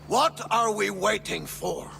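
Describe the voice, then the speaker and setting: An actor playing a gruff, imperious aging Spartan king in a video game cutscene, with a husky, gravelly voice. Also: high voice, slow pace, sharp tone, full character voice. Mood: impatient. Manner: imperious, declamatory. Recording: long echoing hall reverb.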